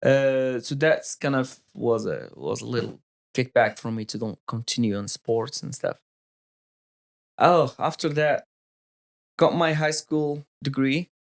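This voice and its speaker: A Moroccan-accented man in his thirties tells stories from his youth in unhurried, halting English, pausing to hunt for words. His voice sits at a middle pitch with a gravelly, fry-edged texture. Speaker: podcast guest. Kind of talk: casual storytelling interview.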